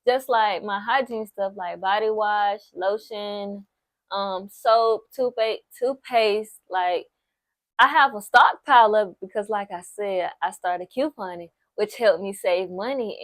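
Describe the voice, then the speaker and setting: A woman's voice: medium pitch, dry recording. Chatty nineteen-year-old woman, dry close sound. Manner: chatty, repeats herself for emphasis, lots of "like" and "so, yes"; encouraging, speaks straight to the viewer. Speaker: vlogger. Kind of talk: casual advice talk to camera.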